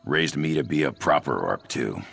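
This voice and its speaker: A man's voice: very deep voice, lively intonation, normal speed, gravelly, a bit bright, slightly rough, soft background music, exaggerated voice. A man voicing an anxious, self-doubting young cat-man trader in a fantasy video game, fretful and hesitant. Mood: troubled, recounting.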